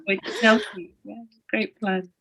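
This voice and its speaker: A woman's voice: medium pitch, fairly even intonation, a little slow, gravelly, bright, forceful tone, no echo, rough recording. A woman talking as a guest in a reflective conversation, thoughtful and with self-deprecating humor.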